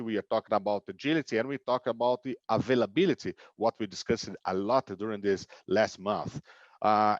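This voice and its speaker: A man's voice: medium pitch, no room sound. A man in his fifties from Brazil with a Brazilian Portuguese accent, giving an online business keynote with many fillers and "okay?" checks. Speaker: keynote speaker. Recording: dull lo-fi online call audio.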